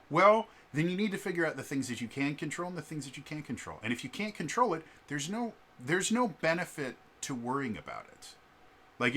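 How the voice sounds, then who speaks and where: A man talking in a casual ranting vlog, often stammering on the first sound of words and filling pauses with ums and uhs. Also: lowish voice. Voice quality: loud voice. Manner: repeats word starts, stammering, many fillers. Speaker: vlogger.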